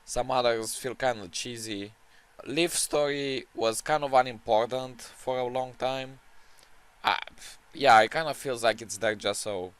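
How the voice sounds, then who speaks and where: A man with a hoarse, strained voice giving casual, unscripted commentary as he ranks books, rambling and opinionated with many restarts. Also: medium pitch, steady tone, unhurried, a bit bright. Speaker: book-ranking video creator.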